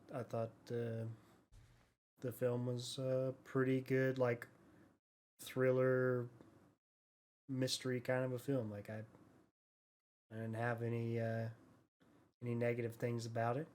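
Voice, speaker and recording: A man co-hosting a podcast, giving his views in a casual, understated and halting way. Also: medium pitch, monotone, a little mellow. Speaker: podcast co-host.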